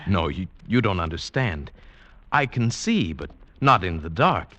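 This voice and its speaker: An actor playing an eager, exasperated lost traveller in a vintage radio drama. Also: male voice, deep voice, big pitch swings, unhurried, fairly bright tone, dry recording, heavily acted. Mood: insistent, exasperated. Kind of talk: 1940s-50s radio drama acting with first-person narration. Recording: dull vintage radio broadcast recording.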